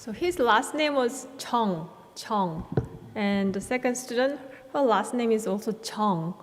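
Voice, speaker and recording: A higher-voiced woman presents the students at an event. She talks slowly and gently. Her voice rings out in a hall with long reverb, and a faint audience murmur sits behind her. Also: animated, slight background noise.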